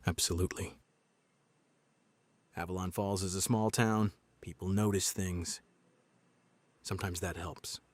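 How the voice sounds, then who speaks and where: An actor playing a guarded small-town sheriff in a noir audio drama, laconic, deadpan and measured. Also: male voice, low voice, dry recording.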